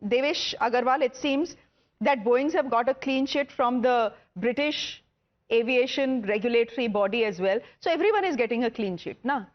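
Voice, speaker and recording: Indian woman news host, higher-pitched voice. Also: moderate pace, dull audio. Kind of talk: live news panel moderation.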